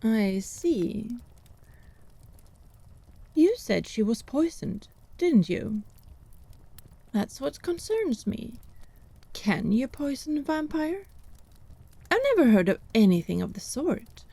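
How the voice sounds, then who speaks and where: A woman as arch country healer, teasing, inquisitive, mellow, creaky, fantasy audio drama. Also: a little high, big pitch swings, takes it slowly, long pauses, no room sound, full character voice. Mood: probing, thoughtful. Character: wry, haughty female country healer, sardonic and inquisitive.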